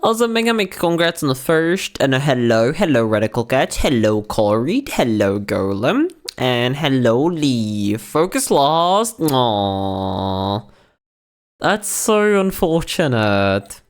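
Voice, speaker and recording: A young man talks to his livestream chat from his bedroom, his voice hoarse and worn out. His pitch bounces around lively as he rambles, and he keeps it casual, profane and full of slang. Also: takes it slowly. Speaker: VTuber streamer. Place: own bedroom.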